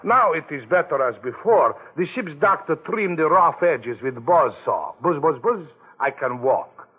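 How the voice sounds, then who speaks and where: An actor playing a heavy foreign man in a plaster cast, speaking in broken, halting English in a 1940s radio play. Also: a little high, animated, slightly rough. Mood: pleading. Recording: tinny old radio broadcast.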